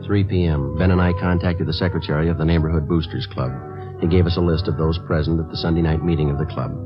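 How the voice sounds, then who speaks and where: A man's voice: very deep voice, steady tone, quick pace. An American man playing a methodical police sergeant in a 1950s radio drama, terse and matter-of-fact. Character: calm, methodical male police sergeant narrating his case. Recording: muffled old radio broadcast, music behind.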